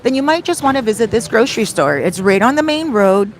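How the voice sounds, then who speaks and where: A woman's voice: on the high side, expressive, brisk, slight background noise. A woman hosting a casual livestream Q&A, chatting conversationally and wandering off into asides. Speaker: livestream host answering viewer questions.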